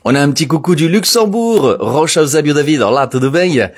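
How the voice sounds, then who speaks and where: Man, live show host, husky voice, relaxed chatty delivery, casual fillers. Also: a little high, a little fast. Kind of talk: casual live broadcast chat.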